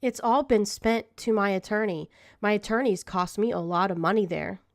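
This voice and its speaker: A woman's voice: medium pitch, normal speed. A woman answering questions in a legal deposition in a guarded, clipped manner. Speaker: plaintiff being deposed.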